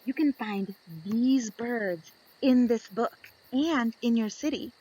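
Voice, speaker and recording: A woman reading aloud to young children in an expressive storytelling style, deliberate and fond of dramatic pauses. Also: a little high, husky, poor audio.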